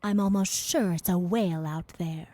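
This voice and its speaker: A woman playing a weary, confused and blunt character in an audio drama, halting and breathy. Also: on the low side, mellow, dry recording, close to an everyday voice. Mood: hesitant, sad. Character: confused, weary woman, blunt wine-drinking mother figure. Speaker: actor.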